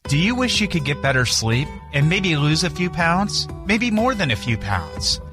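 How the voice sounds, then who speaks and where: A man's voice: on the high side, sing-song, normal speed. A man reading a radio commercial as an announcer, in a polished ad style. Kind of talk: upbeat radio ad read. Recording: music bed behind voice.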